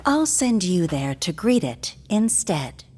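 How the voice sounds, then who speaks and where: Low-voiced, vengeful assassin. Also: female voice, big pitch swings, darkish tone, husky, full character voice. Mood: cold threat. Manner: cold, measured, threatening. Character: grim, vengeful female assassin, coldly determined. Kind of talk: video game cutscene acting.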